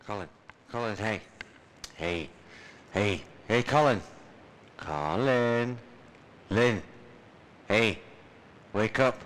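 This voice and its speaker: A man's voice: on the low side, animated, drawn-out words, pauses often, a bit bright, full character voice. A man playing an insistent crew member in an audio drama, calling out in short, repeated bursts. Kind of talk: scripted audio drama acting.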